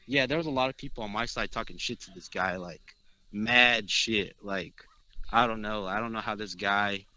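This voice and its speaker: A man's voice: lowish voice, moderate pace, sharp tone, no room sound. A young man from Texas, a guest player in a casual interview, talking brash and cocky with plenty of swearing.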